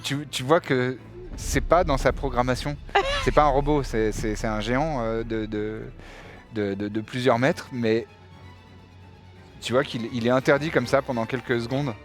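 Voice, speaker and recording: A man running a tabletop game, narrating and explaining rules in a halting way with many fillers. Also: mid-range voice, fairly even intonation, soft background music. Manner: halting, many fillers and restarts while describing. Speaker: game master.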